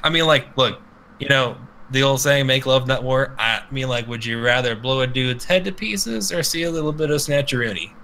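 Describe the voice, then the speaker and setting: Man, sharp forceful tone, casual crude podcast chat, irreverent, rambling, sweary, slight background noise. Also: medium pitch, moderate pace. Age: adult with children. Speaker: podcast co-host.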